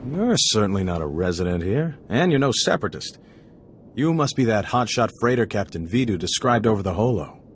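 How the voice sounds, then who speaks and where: An actor playing a tired, wry smuggler in hiding in a video game. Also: male voice, lowish voice, sing-song, brisk, faint music behind. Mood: weary, wry. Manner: weary, wry.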